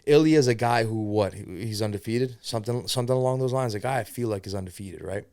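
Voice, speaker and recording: A man's voice: on the low side, fast, a little mellow, no room sound. Rambling young man hosting an MMA podcast. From born in Spain, raised in America. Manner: rambling, profane, admits to segues. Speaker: podcast host. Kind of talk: casual solo podcast commentary.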